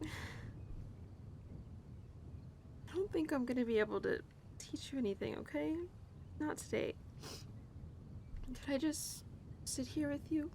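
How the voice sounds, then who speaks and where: Woman playing a downhearted young cowgirl, noisy rumbly recording. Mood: sad, apologetic. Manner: halting, casual, sighing, talking softly to an animal. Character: upset young cowgirl, tender and self-deprecating, talking to her horse. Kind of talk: intimate audio roleplay, naturalistic acting. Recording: clear background noise with low rumble.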